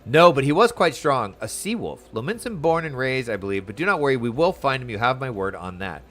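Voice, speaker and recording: Deferential, officious guard officer for a fantasy game, read by a man, formal, medium pitch. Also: very animated, brisk, a bit bright. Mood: apologetic. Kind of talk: reading game dialogue aloud.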